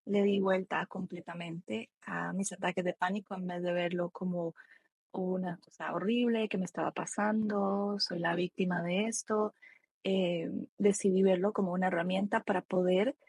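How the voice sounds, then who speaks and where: A woman with a Costa Rican accent and a husky voice, explaining reflectively as an expert guest in a conversational interview. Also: lowish voice, fairly even intonation, normal speed, a little mellow, no echo. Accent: Costa Rican Spanish. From Costa Rica. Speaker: expert guest, psychologist and author.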